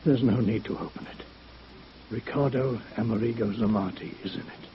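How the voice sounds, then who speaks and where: An actor playing a nervous, evasive and formal violin maker who stammers through a vintage radio drama. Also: male voice, lowish voice, moderate pace, lightly acted. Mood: pleading, confessing. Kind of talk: 1950s radio drama acting. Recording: dull, noisy vintage radio recording.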